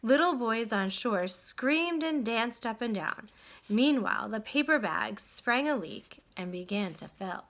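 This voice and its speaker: A woman reading a children's story aloud in an expressive, dramatic way with deliberate phrasing. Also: a little high, gravelly. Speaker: storybook reader.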